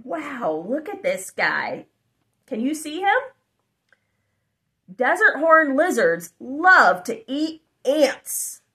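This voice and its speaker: Lively high-voiced woman reading a kids' book. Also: drawn-out words, long pauses. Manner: animated, exclamatory, child-directed with asides. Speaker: storybook narrator. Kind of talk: reading a children's picture book aloud. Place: living room, indoors.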